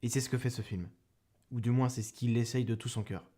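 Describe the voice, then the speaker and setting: A man's voice: medium pitch, steady tone, fairly quick, warm tone. A young man giving a casual spoken film review, rambling and self-mocking with many restarts, close to a microphone.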